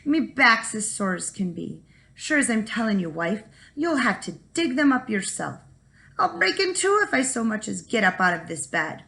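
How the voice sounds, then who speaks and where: A woman's voice: on the high side, sing-song, normal speed, vocal fry, pushed and bright, exaggerated voice. Woman acting a whiny, lazy farmer husband. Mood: whining. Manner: whining, self-pitying.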